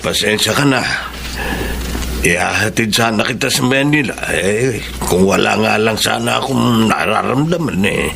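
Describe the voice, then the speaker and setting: A man playing an ailing, apologetic middle-aged fisherman father in a Tagalog radio drama, humble and gentle. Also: lowish voice, expressive, normal speed. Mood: apologetic, tender. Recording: clear background noise.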